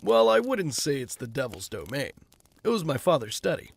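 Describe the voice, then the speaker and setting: An actor playing a flippant, self-mocking rich playboy in an audio drama. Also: male voice, mid-range voice, huge pitch swings, moderate pace, vocal fry, breathy edge, heavily acted. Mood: breezy. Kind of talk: fan audio drama acting.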